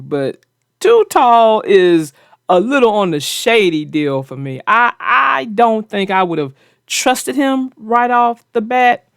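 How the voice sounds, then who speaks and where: A woman's voice: mid-range voice, no room sound, clean studio sound. A woman host discussing a children's story and giving advice in an emphatic, conversational way. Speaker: host of a children's read-aloud show.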